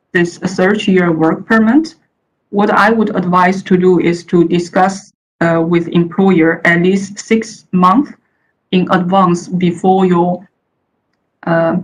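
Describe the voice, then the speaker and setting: Woman from china, chinese her first language, a bit low-voiced, halting presentation delivery. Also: slight background noise, poor audio. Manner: hesitant, many uh fillers and restarts. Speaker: panel speaker. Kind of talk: presentation talk. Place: the Netherlands.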